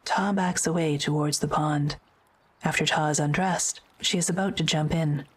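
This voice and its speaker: A woman narrating an audio drama script in a measured, matter-of-fact way. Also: low-pitched, steady tone, breathy edge.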